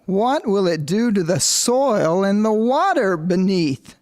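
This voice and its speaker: Man acting very high-voiced, worried farmer Earl. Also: very animated, slow, darkish tone, exaggerated voice. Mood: worried, questioning. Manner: questioning, concerned. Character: worried, questioning male potato farmer named Earl. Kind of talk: amateur historical drama.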